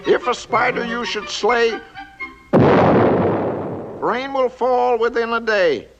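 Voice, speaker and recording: An actor playing an excitable man who rattles off weather rhymes in a sing-song patter, speeding up and tripping over his words. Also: very high-pitched, slow pace, loud music behind the voice, heavily acted. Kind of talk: 1950s animated film voice acting. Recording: dull lo-fi old film sound with hiss.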